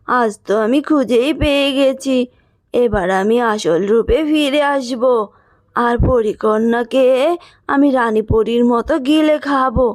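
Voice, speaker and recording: A woman's voice: fairly high pitch, darkish tone, no echo, natural voice. A woman voicing a villainous creature disguised as an old woman, gloating and menacing in a children's cartoon.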